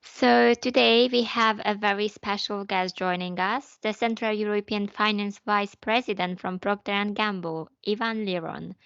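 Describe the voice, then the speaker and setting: A woman's voice: mid-range voice, steady tone, moderate pace, gravelly, few pauses, a bit bright, highs cut off. A young woman hosting a podcast, introducing a guest in an upbeat manner with fillers and light laughs. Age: young adult. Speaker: podcast host.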